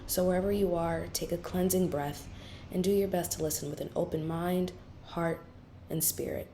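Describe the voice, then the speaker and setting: A woman hosting a podcast, reading a calm, measured intro and then interviewing her guest. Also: lowish voice. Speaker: podcast host.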